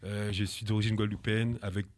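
A man's voice: lowish voice, little pitch movement, fast, slight background noise. A man from France, an activist guest on a radio interview, speaking earnestly and indignantly with many hesitations, fillers and restarts.

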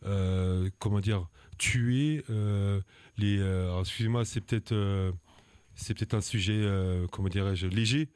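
Hesitant low-voiced man, dry sound. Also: fairly even intonation, a little mellow, smooth, no room sound. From France. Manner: hesitant, halting, many fillers and restarts; earnest and indignant. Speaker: activist guest. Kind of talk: radio interview testimony.

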